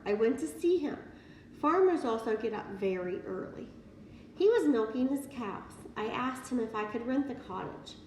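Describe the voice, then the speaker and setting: A woman voicing a gentle young schoolteacher who talks in an earnest, confiding way in a storybook reading. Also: fairly high pitch, close to an everyday voice. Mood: confiding, proud. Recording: roomy, distant mic, faint noise.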